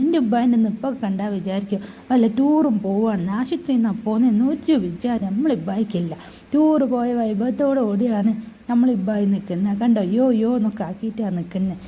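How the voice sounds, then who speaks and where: A woman's voice: fairly high pitch, warm tone, breathy edge. A woman voicing a chatty, cheeky newborn baby in a family video voice-over, talking in a sing-song, cooing way with teasing asides.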